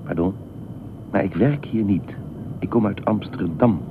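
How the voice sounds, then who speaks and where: A man's voice: on the low side, measured pace, close to an everyday voice. A man acting in a radio play as a polite, bemused, sensible holidaymaker with a reserved, matter-of-fact manner. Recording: noisy, tinny phone-like radio drama recording.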